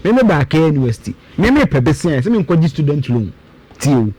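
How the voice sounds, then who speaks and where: A man in his thirties with a Ghanaian accent speaks as a guest commentator. His voice sits fairly high and swings widely in pitch. He argues emphatically, repeating points and firing off rhetorical questions. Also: big pitch swings, brisk, mellow, slightly rough, dry recording. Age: 30s. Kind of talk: budget commentary on a radio talk show.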